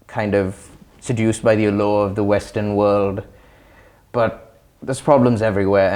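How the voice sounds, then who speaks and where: Young guy from sri lanka, lowish voice, thoughtful and articulate, being interviewed. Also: a little mellow. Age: young adult. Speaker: interview guest. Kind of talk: reflective interview talk.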